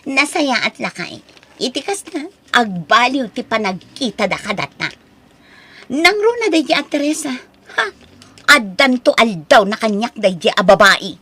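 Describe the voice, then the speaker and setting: A woman's voice: fairly high pitch, very animated, measured pace, sharp tone, husky, heavily acted. A woman playing an envious, proud village wife and scornful gossip in an Ilocano audio drama, with an Ilocano accent and haughty, emphatic delivery.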